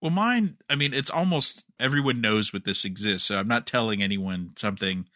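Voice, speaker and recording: A man co-hosting a fan podcast, talking casually and a bit haltingly as he thinks aloud. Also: fairly low pitch, expressive, sharp tone, no echo, studio quality. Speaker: podcast co-host.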